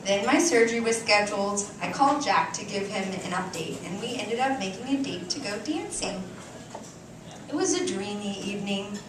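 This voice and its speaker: A woman reads a story aloud on stage with theatrical, deliberate expression and dramatic pauses. Her medium-pitched voice rings through a very echoey room, picked up at some distance, with clear noise behind it. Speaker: reader performing a short story on stage. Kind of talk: dramatic live literary reading. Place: indoor cafe stage before an audience.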